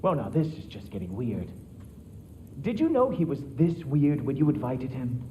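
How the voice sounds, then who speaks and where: A man's voice: medium pitch, big pitch swings, normal speed, dark tone, a little hiss or hum, lightly acted. A man acting as an arrogant, sarcastic god in a video game cutscene, mocking those around him.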